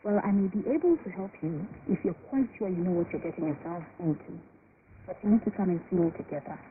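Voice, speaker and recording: An actress playing a calm, patient female doctor in an educational radio drama, explaining things in a measured way. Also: lowish voice, lightly acted. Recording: noisy shortwave broadcast, tinny band.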